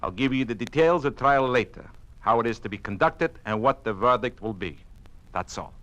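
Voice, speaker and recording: An actor playing a cold, imperious communist police boss in an old-time radio drama, curt and commanding. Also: male voice, medium pitch, lively intonation, moderate pace, noisy background, close to an everyday voice.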